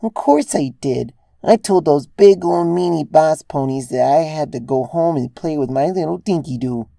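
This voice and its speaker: Someone playing a soft, mellow motherly pegasus mare. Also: medium pitch, moderate pace, gravelly, no echo, studio quality, voice pushed up, close to an everyday voice. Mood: cooing, playful. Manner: soft, cooing, doting. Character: gentle motherly adult female pegasus. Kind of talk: storybook character voice in fan fiction reading.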